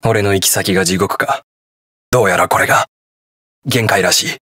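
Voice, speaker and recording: An actor playing a brash, hot-blooded young detective hero in a video game, gruff, terse and defiant. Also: male voice, deep voice, normal speed, bright, forceful tone, no echo, studio quality, exaggerated voice. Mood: cool, menacing. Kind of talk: video game battle voice lines in Japanese hero-show acting.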